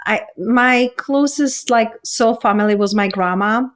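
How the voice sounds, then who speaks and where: A woman's voice: fairly high pitch, a little slow. A woman from the Czech Republic with a Czech accent, speaking earnestly and reflectively as a coach being interviewed, in spiritual self-help phrasing. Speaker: podcast guest, women's coach. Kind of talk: reflective personal interview talk.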